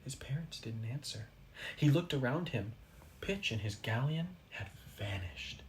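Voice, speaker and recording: A man reading a children's story aloud expressively, with dramatic pauses for effect. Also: medium pitch, expressive, slight background noise. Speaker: storybook reader.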